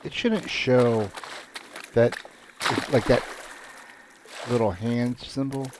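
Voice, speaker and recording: A man casually commenting on his own gameplay, muttering relaxed asides as he plays. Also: mid-range voice, takes it slowly, noisy background. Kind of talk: casual let's-play commentary.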